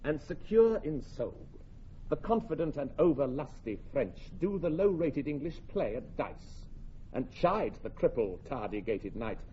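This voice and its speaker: Solemn verse narrator, measured classical delivery with swells and hushes, warm middle register, faint hiss. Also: male voice, medium pitch, big pitch swings. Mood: stirring. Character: solemn Chorus narrator setting a night before battle. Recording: dull, faintly hissy recording.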